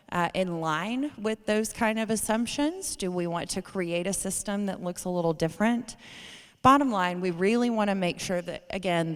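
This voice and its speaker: A woman hosting a public town hall from a podium microphone, speaking in a measured, courteous way with frequent fillers. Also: fairly low pitch, clear, resonant tone. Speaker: state education official hosting a town hall. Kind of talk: public meeting moderation. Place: large meeting room with a podium. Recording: long hall reverb.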